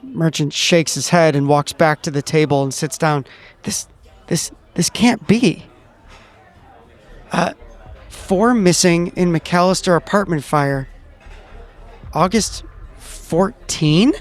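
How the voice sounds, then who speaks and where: A man playing a tabletop game, improvising narration and character lines in a halting, stammering way. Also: a little high, soft background music. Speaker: player in a tabletop game podcast. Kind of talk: improvised storytelling, acting out a character.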